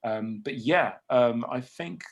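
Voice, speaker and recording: A man's voice: medium pitch, slow. A man hosting a panel discussion in a chatty, informal, conversational way.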